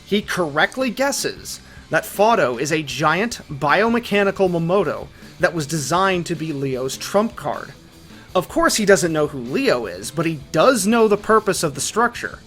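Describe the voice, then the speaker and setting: A man's voice: a little high, very animated, unhurried, a bit bright. A man giving scripted, emphatic storytelling narration as the narrator of an analytical video essay. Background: background music.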